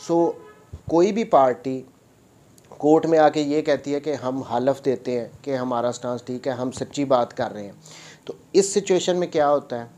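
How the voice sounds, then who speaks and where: A Pakistani man with a soft-edged, mellow voice at a middling pitch delivers a legal explainer. He speaks as an advocate teaching, restating each point in fresh words and dropping in English terms. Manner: didactic, repeats points in other words. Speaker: advocate presenting a law lecture.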